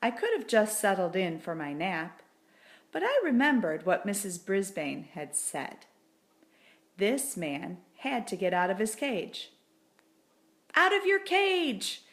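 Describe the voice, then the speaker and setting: Slow-paced woman reading a children's story. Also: lowish voice, big pitch swings. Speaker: audiobook reader. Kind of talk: scripted children's story narration.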